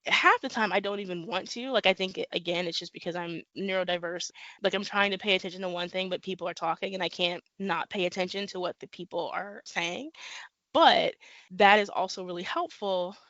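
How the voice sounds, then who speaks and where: A woman's voice: on the low side, fairly quick, no room sound, lo-fi sound. A woman author from the American Midwest, chatting casually in an interview and talking animatedly with lots of fillers. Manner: animated, talks with many fillers and laughs. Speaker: guest author.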